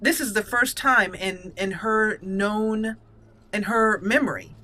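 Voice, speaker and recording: A woman's voice: mid-range voice, slow pace, bright, forceful tone. A woman hosting a roleplay let's play of a fantasy game, thinking aloud as she muses over her choices. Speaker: gaming channel host and player.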